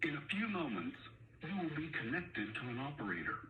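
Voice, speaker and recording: A man reading a scripted, formal automated phone menu announcement with measured enunciation. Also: a little high, very animated, narrow, tinny audio. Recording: phone menu recording with faint noise.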